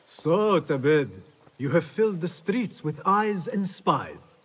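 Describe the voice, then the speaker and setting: An actor playing a guarded, determined young assassin in dramatic video game dialogue. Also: male voice, fairly high pitch, expressive, slow, husky, exaggerated voice. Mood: grave. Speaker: game protagonist. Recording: music behind the voice.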